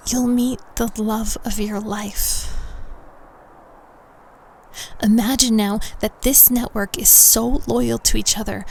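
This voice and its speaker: Creaky-voiced, coaxing young society recruiter played by a woman. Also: fairly high pitch, full character voice. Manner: coaxing, deliberate, confiding, with breathy near-whispered phrases. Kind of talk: intimate girlfriend roleplay audio drama.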